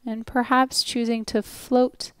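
A woman leading a guided relaxation as a yoga nidra instructor, speaking gently and soothingly with long pauses. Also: fairly high pitch, level tone, clean tone, no room sound.

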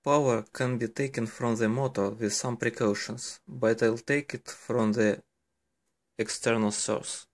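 A man gives step-by-step instructional narration in halting, careful, simple English. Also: mid-range voice, steady tone. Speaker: electronics hobbyist giving a tutorial. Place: indoors at a desk, hands-on demonstration behind the camera.

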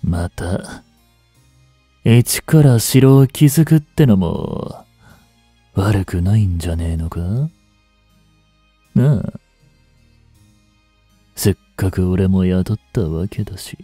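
An actor playing a rough young street punk working as a butler in an audio drama, blunt and teasing, with a grudgingly tender side. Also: male voice, low-pitched, slow, long pauses, dark tone, no room sound, faint music behind. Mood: grudgingly soothing. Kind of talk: intimate Japanese audio drama acting addressed to the listener.

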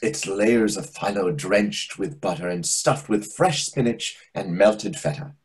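A man's voice: lowish voice, a little slow, slightly rough. A man playing a waiter who presents a dish, in a play reading over a video call. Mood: smooth, savouring. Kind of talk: readers theatre play reading.

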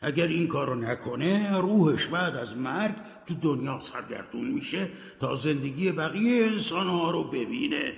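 A tormented old ghost dragging his chains, as a man puts him on, wailing his regrets. The voice sits raised and gravelly. It echoes through a roomy, reverberant space. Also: fairly high pitch, lively intonation, hardly any pauses, a little hiss or hum, pitched above the performer's own voice. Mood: solemn. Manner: lamenting, declamatory. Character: anguished ghost of a dead old businessman.

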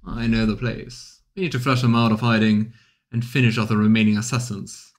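A man's voice: on the low side, flat delivery, a little fast, lightly acted. A man voicing a cold, terse hired killer in a fantasy game, with a steely manner. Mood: cold, businesslike. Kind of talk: voiced fantasy game dialogue.

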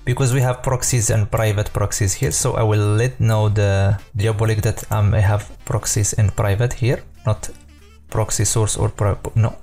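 Mellow-voiced man explaining software casually. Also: on the low side, level tone, moderate pace, few pauses, soft background music. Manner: loose and informal, many fillers and self-corrections. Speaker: software tutorial presenter. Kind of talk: casual screen-recorded tutorial.

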